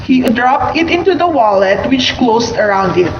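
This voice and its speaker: Casting: female storyteller, slightly high voice, scripted radio-play narration; muffled, noisy take. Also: expressive, normal speed. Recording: muffled, echoey room with background noise.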